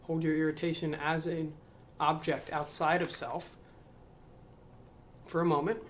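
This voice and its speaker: A man reading a spiritual book aloud to camera. He adds slow, musing commentary, questions himself, and trails off into mumbles. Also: a little high, level tone, a little hiss or hum.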